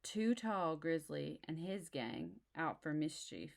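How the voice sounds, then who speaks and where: A woman with a low voice reads a picture book to young children. Her narration is expressive and simple, broken by short explaining asides to the listeners. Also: slow, vocal fry. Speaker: storybook reader.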